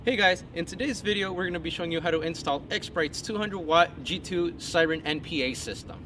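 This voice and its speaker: A man's voice: fairly high pitch, a little fast, pushed and bright, slight background noise. A man presenting a step-by-step installation how-to in casual, informal language. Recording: music behind the voice.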